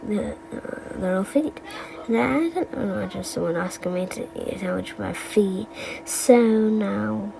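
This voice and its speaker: A woman voicing an absent-minded animatronic bear from a game, who tells stories in a halting, stammering, deadpan way and wanders off the point. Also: mid-range voice, gravelly, low-quality recording. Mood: deadpan, rueful. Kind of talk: fan recital of game voice lines.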